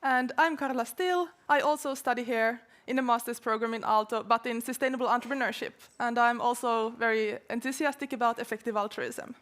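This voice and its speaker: A woman's voice: fairly high pitch, steady tone, brisk, hardly any pauses, fairly bright tone. A young woman in her twenties co-hosting a live event, welcoming and informing the audience. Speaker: event co-host, master's student.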